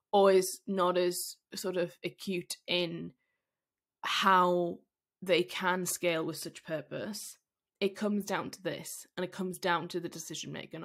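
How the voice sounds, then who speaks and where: A woman talks through her thoughts on a solo podcast, her voice croaky and husky because she has been ill. She sits a little low in pitch and speaks candidly, circling back with fillers and restarts as she works out each point. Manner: candid, thinking aloud with frequent fillers and restarts. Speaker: podcast host.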